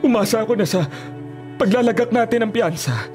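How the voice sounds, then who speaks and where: A dutiful young Filipino officer, torn over his brother yet resolute. His highish voice moves widely up and down with feeling, with music underneath. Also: expressive, a little fast, a little mellow. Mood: sorrowful, resigned. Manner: emotional, solemn, resolute. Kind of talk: Filipino radio drama acting. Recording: dramatic music behind.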